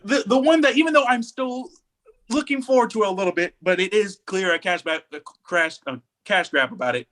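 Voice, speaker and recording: Male, high voice, bright and sharp, emphatic, repetitive phrasing, casual podcast opinion chat. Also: fairly quick. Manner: emphatic, repeats phrases for stress. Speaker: podcast co-host.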